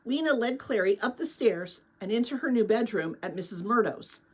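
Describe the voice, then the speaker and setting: A woman narrates a storybook as a read-aloud. Her voice sits fairly high with a slightly husky texture. The delivery is expressive and varied in pitch, with a casual touch. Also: normal speed, low-quality recording.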